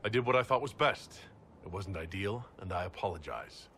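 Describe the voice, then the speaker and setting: An actor playing a composed, diplomatic leader in a video game, speaking in a steady, considered way. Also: male voice, low-pitched, moderate pace, long reverb tail, slight background noise. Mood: conciliatory. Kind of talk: dramatic video game acting.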